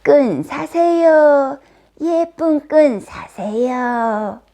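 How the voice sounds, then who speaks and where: A woman voicing a wicked queen disguised as an old peddler woman in a children's fairy tale, with drawn-out, coaxing, sing-song calls. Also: high-pitched, lively intonation, warm tone, voice pushed up, exaggerated voice. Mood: sing-song hawking.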